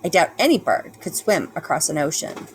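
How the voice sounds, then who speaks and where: Woman doing a calm formal robot mother. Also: low-pitched, a little hiss or hum. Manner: measured formal speech.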